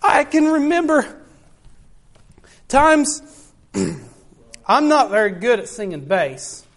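High-voiced man preaching earnestly. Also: sing-song, takes it slowly. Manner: earnest, repetitive, often addresses listeners as folks. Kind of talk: sermon.

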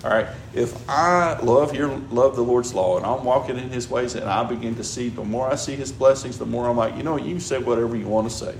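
Gravelly-voiced preacher in an echoey room. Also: male voice, mid-range voice, fairly quick, hardly any pauses. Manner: conversational, repeats "all right?" and "you know". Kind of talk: sermon. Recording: echoey room with background noise.

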